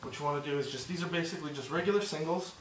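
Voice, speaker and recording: A man teaching a movement drill step by step, encouraging and repeating himself, slightly hoarse and short of breath. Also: on the high side, very fast, reverberant, far from the mic, a little hiss or hum. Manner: encouraging, repeats "just like that" and "good". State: losing his voice, a bit out of breath. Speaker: instructor. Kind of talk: step-by-step demonstration lesson.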